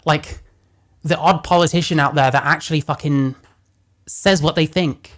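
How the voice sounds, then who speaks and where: A man with a deeper voice giving casual reaction commentary, blunt and profane as he rants. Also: fairly even intonation, moderate pace, fairly bright tone. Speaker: commentary host.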